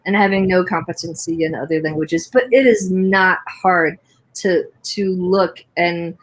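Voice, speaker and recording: A chatty American woman hosts a relaxed online chat about family history. Her voice sits a little low, and she talks in an encouraging, rambling way, full of fillers and restarts. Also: measured pace, rough recording. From Indiana, USA. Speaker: host. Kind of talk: casual video chat.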